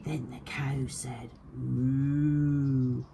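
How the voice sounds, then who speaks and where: Woman reading a rhyming kids story, slow and very animated, bit of creak, theatrical. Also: deep voice, a little hiss or hum. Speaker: storyteller for young children. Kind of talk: rhyming picture-book narration.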